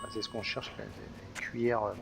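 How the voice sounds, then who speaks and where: A man's voice: lowish voice, flat delivery, fast talker, gravelly. A man hosting a let's play, giving casual, rambling commentary full of hesitations and restarts as he plays.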